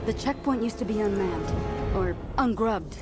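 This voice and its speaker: A female soldier scout in a video game cutscene, acted by a woman. She is matter-of-fact and a little wry, at an average pitch. Very loud music sits behind the voice. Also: lively intonation, normal speed, natural voice. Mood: briefing, wry.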